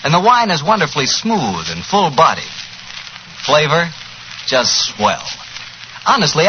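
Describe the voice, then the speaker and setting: A man's voice: fairly high pitch, pushed and bright. A man with an American accent announcing in 1940s radio style, hearty and chummy, with a folksy, emphatic sales manner. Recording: muffled, noisy 1940s radio recording.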